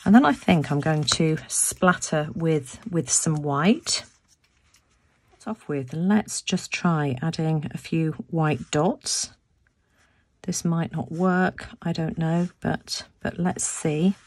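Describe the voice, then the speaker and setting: A woman with a croaky voice talking through a craft demonstration at her table, thinking aloud as she works and often repeating words. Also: sits low, slow pace, darkish tone, no echo. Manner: chatty, thinking aloud with restarts. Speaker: craft video host. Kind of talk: casual craft tutorial narration. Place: home craft room, tabletop.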